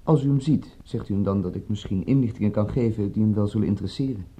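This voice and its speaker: An actor in a radio drama playing a shady, probing gentleman who is formal, courteous and insinuating. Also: fairly low pitch, fast, slightly rough. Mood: courteous, sly. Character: smooth-mannered, probing, shady gentleman with information to sell. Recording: muffled, dull old radio recording.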